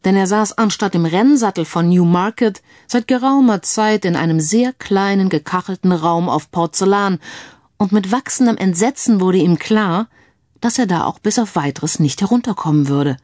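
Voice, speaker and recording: A woman's voice: mid-range voice, moderate pace, a little mellow, dry recording. Dry-sounding woman narrating a crime story.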